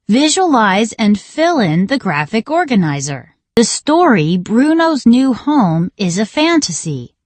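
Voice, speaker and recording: A woman reading a scripted children's reading lesson in a deliberate, instructional manner. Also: medium pitch, dry recording. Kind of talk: scripted educational read-along narration for children.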